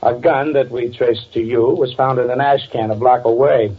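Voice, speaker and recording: An actor playing a stern, skeptical, world-weary police detective in an old-time radio drama, speaking bluntly and deadpan. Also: male voice, medium pitch, normal speed, slightly rough, natural voice. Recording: tinny, noisy old radio recording.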